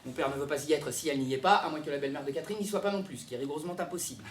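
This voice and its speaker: An actor playing an exasperated, conflict-averse bridegroom in a theatrical monologue, talking fast and stammering in a roomy space. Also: male voice, on the high side, slight background noise, natural voice. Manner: stammering, hesitant, rapid-fire. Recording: roomy, echoey sound.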